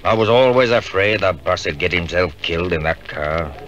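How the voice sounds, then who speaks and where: An actor playing a glum young Yorkshire undergroom in a 1950s radio drama. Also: male voice, low-pitched, expressive, moderate pace, fairly bright tone, dull audio. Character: young undergroom from a Yorkshire village, sullen, weary. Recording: noisy old 1950s radio recording.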